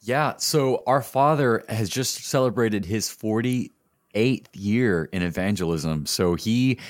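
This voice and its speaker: Man, twenties, Texan accent, low voice, dry close sound, good-humored storytelling. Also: slow, dry recording. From Texas, United States. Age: 20s. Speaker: interview guest, gospel singer. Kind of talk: casual storytelling in an interview.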